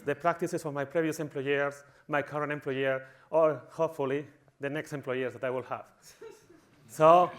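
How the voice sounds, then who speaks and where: A man from Spain with a Spanish accent, giving a humorous conference talk in a tongue-in-cheek, mock-villainous manner. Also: fairly high pitch. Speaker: conference speaker.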